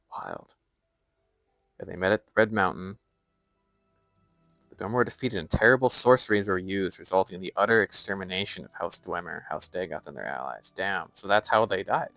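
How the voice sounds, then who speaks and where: A man's voice: sits low, creaky, pushed and bright, no echo. A young American man chatting casually on a live stream while playing a game and reading its lore aloud. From United States.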